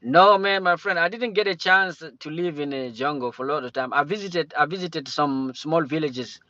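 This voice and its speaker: A man from Cameroon hosts a relaxed livestream chat, stumbling and restarting his sentences. His voice sits a bit high for a man. The sound is dry and close, with a dull lo-fi tone. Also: no echo. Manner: halting, many restarts and fillers. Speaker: livestream host. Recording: dull lo-fi stream audio.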